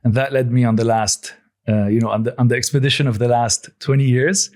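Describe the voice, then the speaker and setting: A man in his forties who grew up in Lebanon speaks as a guest founder in a podcast interview. He answers in a steady, measured voice, pitched around the middle for a man, often pausing with "um" and starting his sentences over. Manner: measured, thoughtful, with frequent "um" and restarts. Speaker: guest, coffee company founder.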